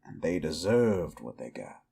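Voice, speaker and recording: An actor playing a weary, defiant cowboy outlaw werewolf in an audio roleplay, with a folksy, brooding American Southern or Western drawl. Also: male voice, very deep voice, big pitch swings, measured pace, creaky, mellow, slightly rough, exaggerated voice. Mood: bitter, defiant. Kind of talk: slow dramatic roleplay monologue to the listener.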